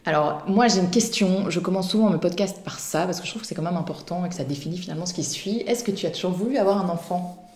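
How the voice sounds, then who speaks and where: Low-voiced woman podcast host. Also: fast, a little mellow, roomy sound. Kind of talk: interview podcast.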